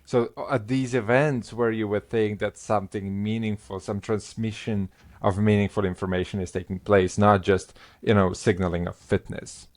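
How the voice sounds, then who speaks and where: A middle-aged man with a Slovenian first language, a linguistics professor talking as a guest in an interview, halting with many restarts and fillers. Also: fairly low pitch, fairly even intonation, darkish tone. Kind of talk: conversational interview.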